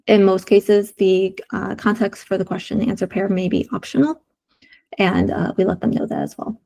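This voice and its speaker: Woman with a sore, gravelly voice. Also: medium pitch, warm tone. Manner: explanatory, many "um" and "uh" fillers. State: sore throat, losing her voice. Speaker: UX designer presenting prototypes. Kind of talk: community meeting screen-share walkthrough.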